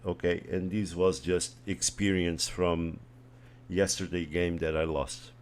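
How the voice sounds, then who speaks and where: A man born in Portugal whose first language is Portuguese, casually commenting on his own chess game as he streams it, thinking aloud and trailing off into quiet asides. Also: deep voice, little pitch movement, a little slow, slight background noise.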